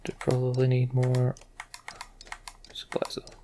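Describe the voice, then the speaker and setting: A man's voice: medium pitch, monotone, measured pace, background noise. A man hosting a video game let's play, giving casual commentary as he plays.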